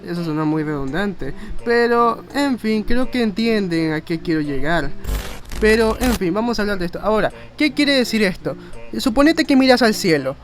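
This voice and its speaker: A man narrates an informal explainer. He rambles conversationally and drops in self-mocking asides. Faint music plays behind his narration. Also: sits high. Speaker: video narrator and graphic designer.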